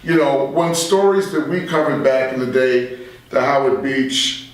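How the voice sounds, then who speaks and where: An American man in his sixties speaking as a veteran radio journalist in a reflective interview, measured and halting with emphatic asides. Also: medium pitch, distant mic. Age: 60s. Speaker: veteran radio journalist and talk show guest. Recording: very echoey room, off the mic.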